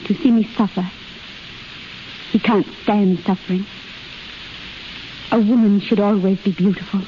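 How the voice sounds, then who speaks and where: A woman's voice: mid-range voice, fairly even intonation, measured pace, lo-fi sound, lightly acted. An actress playing a frail, lovesick young woman invalid, plaintive and earnest, in a hissy 1930s radio drama. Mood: plaintive, weary.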